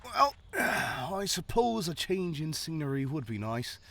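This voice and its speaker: A man's voice: fairly high pitch, very animated, unhurried, vocal fry, fairly bright tone, slight background noise, full character voice. An actor playing an easygoing townsman in a fantasy audio drama, with a playful, sardonic manner. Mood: wry, easygoing. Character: wry, easygoing male townsman, teasing and unbothered. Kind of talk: fantasy audio roleplay acting.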